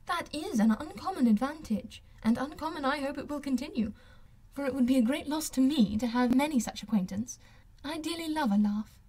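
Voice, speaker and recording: A woman voicing a witty, self-assured young gentlewoman in an audiobook, with a playful, teasing and articulate manner. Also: sits high, expressive, fairly quick, voice pushed up. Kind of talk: audiobook character voice.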